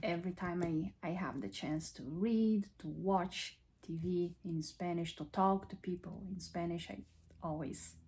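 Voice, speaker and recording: A woman in her thirties whose first language is Portuguese, narrating a relaxed lifestyle vlog in a chatty way and restarting words now and then. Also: lowish voice, a little slow, husky. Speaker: lifestyle vlogger. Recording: soft background music.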